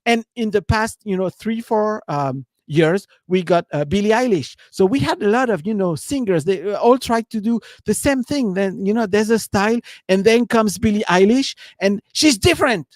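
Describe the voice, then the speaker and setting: A man's voice: sits high, expressive, normal speed, few pauses, no echo. A man with a French accent, giving a loose, rambling live-stream talk as a business coach, leaning on "you know" and "right?". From France.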